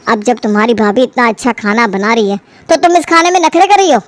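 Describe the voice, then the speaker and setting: A woman's voice: on the high side, expressive, quick pace, natural voice. A woman voicing a sensible adult elder brother in a cartoon family story, reasoning and mildly chiding. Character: adult elder brother, sensible husband defending his wife's healthy cooking.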